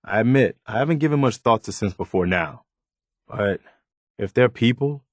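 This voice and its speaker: An American actor playing an earnest, principled young militia companion in a post-apocalyptic video game, speaking in a plain-spoken, measured way. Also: male voice, medium pitch, expressive, no echo, studio quality, lightly acted. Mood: sympathetic, thoughtful.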